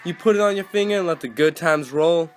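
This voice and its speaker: Man playing a higher-pitched, eager 1920s radio co-host. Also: a little fast, faint music behind, lightly acted. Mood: peppy salesman. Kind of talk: 1920s radio broadcast acting with period slang.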